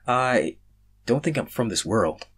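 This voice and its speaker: A man's voice: mid-range voice, expressive, a little slow, a bit bright, husky, heavily acted. A man voicing a nervous, earnest foreigner from another world in a story reading, hesitant and pleading.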